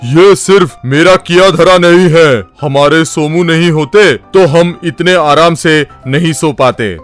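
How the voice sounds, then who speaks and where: A man voicing a scheming, blustery gang boss of thieves with emphatic exclamations in an animated comedy story. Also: fairly high pitch, measured pace, faint music behind, natural voice. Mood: confident.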